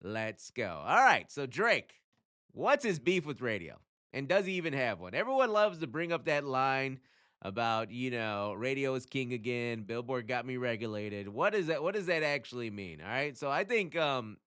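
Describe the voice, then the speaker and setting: A man hosting his own channel, talking casually and emphatically as he explains things, often throwing in rhetorical questions and quick check-ins. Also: medium pitch, very animated, a bit bright. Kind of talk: casual explainer talk to camera.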